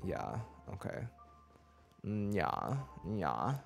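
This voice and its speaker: A man's voice: deep voice, very animated, very slow, vocal fry. A man in his twenties chatting casually as a game streamer, rambling and cutting himself off, close on a desk mic. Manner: rambling, self-interrupting. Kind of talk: casual livestream chat. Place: small room indoors. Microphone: desk mic close to the mouth. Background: game music.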